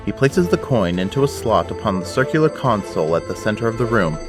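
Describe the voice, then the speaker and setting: A man narrates an audio drama in a deep voice. His delivery is scripted and dramatic, set over prominent background music. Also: very animated, fast.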